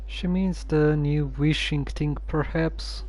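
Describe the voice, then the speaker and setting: A streamer casually commenting on a story game with a hesitant, musing, deadpan way of talking. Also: medium pitch, slow, low-quality recording.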